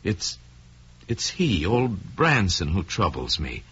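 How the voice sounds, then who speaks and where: A man playing a troubled young man who feigns earnest concern, halting and pleading, in an old-time radio drama. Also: low-pitched, sing-song, pushed and bright, breathy edge, muffled recording, exaggerated voice. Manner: halting, pleading, repeats himself.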